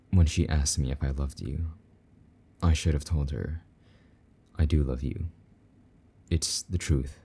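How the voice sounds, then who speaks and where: A man playing a possessive but tender, lonely demon lover in an intimate romantic audio roleplay, speaking softly and coaxingly in a husky voice. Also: heavily acted. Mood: confessional, loving. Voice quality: very deep, dark, husky. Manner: soft, slow-burning, gently coaxing endearments.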